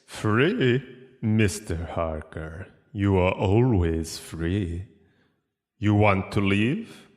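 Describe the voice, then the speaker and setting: A man's voice: lowish voice, animated, darkish tone. A man playing a courteous, menacing aristocratic vampire in a gothic audio drama, speaking with cold deliberation. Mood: silky, menacing. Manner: slow, deliberate, coldly courteous. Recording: long cavernous reverb, music underneath.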